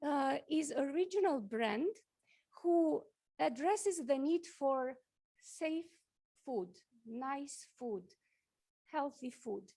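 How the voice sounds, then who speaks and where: A woman from Romania, giving a conference talk with slides, halting often with fillers and restarts. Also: sits high, lively intonation, mellow. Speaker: conference speaker. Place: conference hall.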